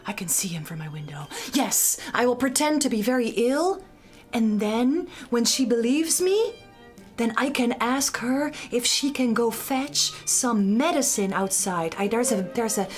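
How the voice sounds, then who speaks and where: A woman's voice: a little high, animated, measured pace, faint music behind. A woman voicing a vain, scheming young maiden in a comic puppet show, fretful, repetitive and melodramatic. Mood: scheming, frantic.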